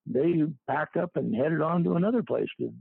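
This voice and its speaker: Gravelly older man, tinny phone-like sound. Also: on the high side, no room sound. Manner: halting, with many fillers and restarts. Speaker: interviewee. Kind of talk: casual recollection.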